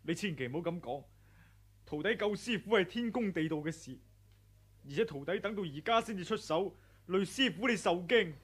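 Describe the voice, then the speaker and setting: A faithful young disciple in an old radio play, speaking respectfully with a faint husk. his voice sits high for a man, plain and sincere. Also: expressive, normal speed, fairly bright tone, husky, full character voice. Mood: earnest. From Hong Kong. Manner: respectful, earnest, alarmed when attacked. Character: loyal young male disciple, earnest and honest. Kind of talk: 1980s radio drama acting.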